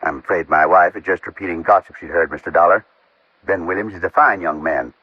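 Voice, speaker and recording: An actor playing a mild, neighborly American country husband, loyal and conciliatory, in 1950s radio drama style. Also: male voice, sits low, brisk, husky. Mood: reproving. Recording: muffled old-time radio recording.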